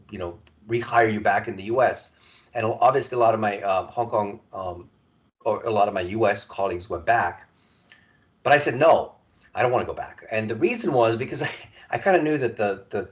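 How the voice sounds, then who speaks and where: A man in his forties from Serbia, a podcast guest telling his story in a relaxed conversational way, stumbling and restarting often. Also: fairly low pitch, highs cut off. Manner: halting, many restarts and repeated words.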